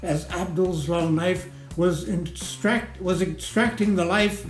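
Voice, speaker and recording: A man giving a theatrical recitation of a comic rhyming ballad in a measured, sing-song rhythm. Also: high-pitched, steady tone. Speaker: poetry reader. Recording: roomy echo, music underneath. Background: music.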